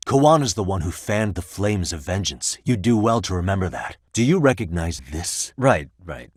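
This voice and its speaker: A sharp, composed male defense lawyer from a video game, with a low-pitched voice recorded very dry. He speaks in measured, articulate phrases. His questioning is formal, probing and insistent. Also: breathy edge, no echo. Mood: flustered. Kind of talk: scripted dramatic courtroom dialogue in a game.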